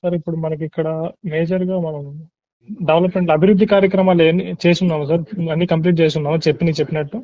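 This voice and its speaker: A man's voice: a little high, fairly even intonation, very fast. A man working as a survey caller, politely and deferentially asking questions on the phone and mixing in English words. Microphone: telephone. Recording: muffled lo-fi leaked phone call.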